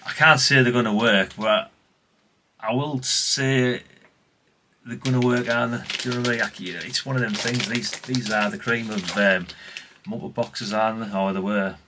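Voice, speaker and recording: A man's voice: mid-range voice, fairly even intonation, moderate pace, noisy background. A middle-aged man chatting casually and colloquially in a rambling vlog, often tagging questions onto what he says. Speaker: vlogger, radio collector.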